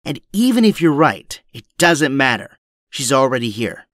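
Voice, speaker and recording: Man playing bright, forceful resistance leader. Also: mid-range voice, big pitch swings, moderate pace, dry recording, studio quality. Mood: pleading, hopeful. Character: weary, firm male resistance leader. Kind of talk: audio drama acting.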